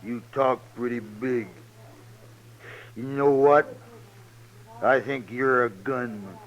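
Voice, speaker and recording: Actor as a slow-talking tipsy western farmer. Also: male voice, mid-range voice, natural voice. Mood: needling, bold. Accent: American. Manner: slow, drunken, needling. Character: tipsy, goading small-town farmer in the old West. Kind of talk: 1950s radio western acting. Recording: dull vintage 1950s radio recording.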